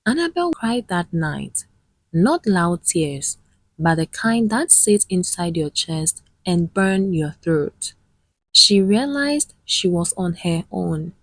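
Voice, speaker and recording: A woman narrating a scripted dramatic tale in a measured, solemn manner with dramatic pauses. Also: on the low side, very animated, no room sound, rough recording. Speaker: storyteller, narrator.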